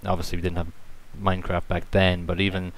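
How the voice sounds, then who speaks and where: A man from Ireland hosts a laid-back chat in a very deep, gravelly voice. His delivery stays flat and even, and his sentences tend to trail off among agreeing fillers. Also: fairly quick. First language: Irish. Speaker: podcast host. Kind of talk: casual interview chat.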